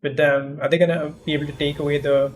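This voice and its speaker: A man's voice: on the high side, little pitch movement, fast, a crowd in the background. A man livestreaming a game, commenting casually and thinking aloud in a rambling way. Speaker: game streamer. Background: game music.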